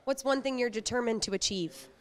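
A woman's voice: fairly high pitch, a little fast. A young adult woman interviewer asking prepared questions. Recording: audible ambient building noise.

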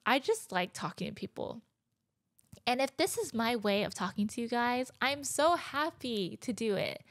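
Young woman rambling into a handheld mic on the couch, kinda high voice, super dry close sound, giggly and candid. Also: no echo. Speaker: podcast host. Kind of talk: casual solo podcast chat. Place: living room, on a couch. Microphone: handheld dynamic mic, close.

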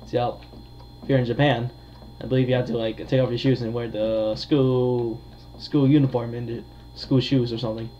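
Man, halting, on a dull mic. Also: mid-range voice, level tone. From United States. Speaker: gamer, video creator. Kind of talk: casual gameplay commentary. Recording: dull lo-fi mic, faint noise.

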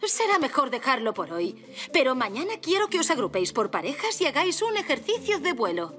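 High, lilting bee schoolteacher. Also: female voice, very animated, brisk, fairly bright tone. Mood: kindly, instructive. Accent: Castilian Spanish. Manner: instructive, polite but firm. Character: prim, kindly adult female bee schoolteacher. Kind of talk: animated cartoon dub acting.

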